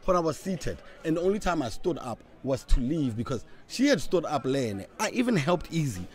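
A young man, a podcast guest and former radio presenter, talking bluntly and heatedly, slipping into Setswana, recorded outdoors on a boom mic. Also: sing-song, moderate pace. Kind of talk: casual interview talk. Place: outdoor table on a campus courtyard. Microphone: studio mic on boom arm.

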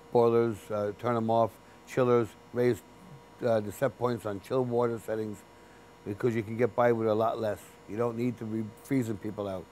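A man in his fifties, a building's chief engineer, explaining his work in a sit-down interview in a basement boiler room, practical and unhurried. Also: medium pitch, darkish tone, slight background noise. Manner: plain-spoken, practical, unhurried with restarts. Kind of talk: interview for a training video.

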